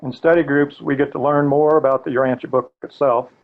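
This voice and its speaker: An older man with a gravelly voice, earnestly presenting a live training webinar. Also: medium pitch, fairly quick, phone-quality sound. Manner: earnest, steady, occasional restarts. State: gravelly voice today.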